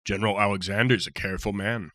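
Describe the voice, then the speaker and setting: Male narrator as a jovial giant soldier, deep and bright, dry read. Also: steady tone, fast, no echo. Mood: hearty. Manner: hearty, rough folksy speech. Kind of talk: audiobook character voice.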